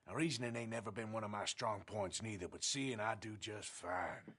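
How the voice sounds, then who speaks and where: An actor playing a tough, sardonic outlaw cowboy in a Western video game, blunt and folksy, with an American drawl. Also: male voice, fairly low pitch, normal speed, fairly bright tone, breathy edge. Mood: dry, menacing.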